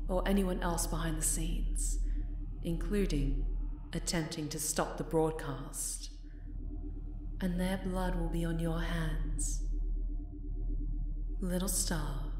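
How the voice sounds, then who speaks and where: A woman playing a possessive, lovesick supervillainess in a dramatic ASMR roleplay, with silky threats and breathy half-whispers. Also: on the low side, a little mellow, reverberant, recorded from a distance, a little hiss or hum. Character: menacing, lovesick winged supervillainess, possessive and theatrical.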